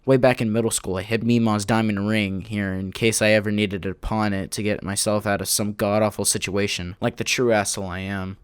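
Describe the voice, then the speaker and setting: A man's voice: fairly low pitch, level tone, brisk, hardly any pauses, clear, resonant tone, no room sound, clean studio sound, lightly acted. A man playing a bitter, despairing man in his late thirties who narrates his diary in an anguished, self-loathing audio drama style. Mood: anguished confession.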